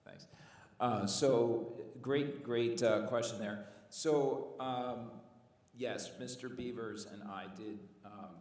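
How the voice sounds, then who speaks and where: A man, a school district administrator, explaining a messaging system at a board meeting in a measured way with some filler uhs. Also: mid-range voice, fairly even intonation, darkish tone. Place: large meeting room. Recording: distant mic in echoey hall.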